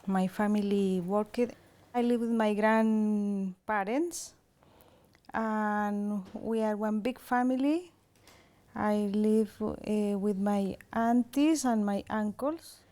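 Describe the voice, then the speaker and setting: Colombian lady, spanish first language, slow halting english, higher soft mellow voice. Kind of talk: personal recollection in interview.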